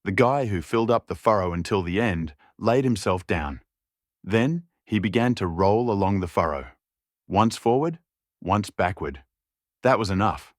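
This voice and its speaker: A man's voice: lowish voice, animated, normal speed, dry recording, clean studio sound. A man reading a scripted story as an audiobook narrator.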